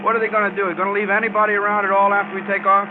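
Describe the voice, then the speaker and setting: A man's voice: sits high, monotone, rapid-fire, phone-quality sound, close to an everyday voice. An American actor playing an uneasy, questioning Navy cameraman, a plain working guy talking casually in a radio drama. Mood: uneasy, wondering. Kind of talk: 1950s radio drama dialogue. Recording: noisy, thin old radio recording.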